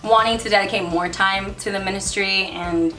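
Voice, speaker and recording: A woman's voice: medium pitch, fairly even intonation, moderate pace, bright, forceful tone. A woman in her twenties, a band member, chatting in an upbeat, talkative way. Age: 20s. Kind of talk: casual chat. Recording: distant mic with background music.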